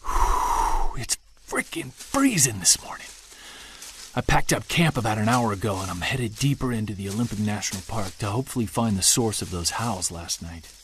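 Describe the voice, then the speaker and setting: An actor playing an earnest, excitable Bigfoot researcher, musing to himself as he records a field log in an audio drama. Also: fairly low pitch, normal speed, slight background noise. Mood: chilly, determined. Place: outdoors in wilderness sound setting, handheld recorder.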